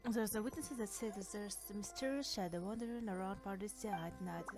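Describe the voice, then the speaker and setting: A woman doing casual gameplay commentary, reacting in short, halting murmurs as she reads along. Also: mid-range voice. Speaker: game streamer. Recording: loud game music behind voice.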